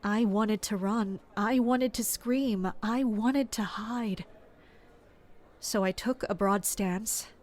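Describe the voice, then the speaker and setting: A woman playing a guarded, watchful palace servant who narrates a fantasy audio drama in a measured, serious way. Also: medium pitch, darkish tone, natural voice. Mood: anxious. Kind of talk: scripted first-person audio drama narration.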